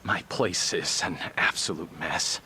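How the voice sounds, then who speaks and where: An actor playing a reserved, formal middle-aged male clinic director with a measured delivery in an English video game dub. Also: low-pitched, bright, forceful tone, a little hiss or hum, heavily acted, rough recording. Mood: courteous, guarded.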